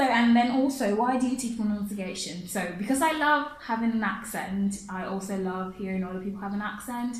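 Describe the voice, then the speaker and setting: A woman's voice: mid-range voice, echoey room, rough recording. A woman from Africa who teaches pronunciation and speaks English as a second language, chatting casually through viewer questions, laughing often and repeating her phrases. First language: not English.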